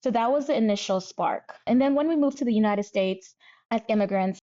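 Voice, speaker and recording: A woman's voice: on the high side, fairly quick, dark tone, muffled recording. A woman in her thirties, originally from Nigeria, talking as a guest physician in an interview, thoughtful and candid. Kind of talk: conversational interview.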